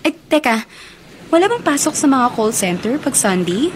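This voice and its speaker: Earnest young woman, slightly high voice. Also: very animated, moderate pace, background noise. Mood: casual, shy. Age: young adult. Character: earnest young college woman torn over a suitor. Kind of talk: radio drama acting.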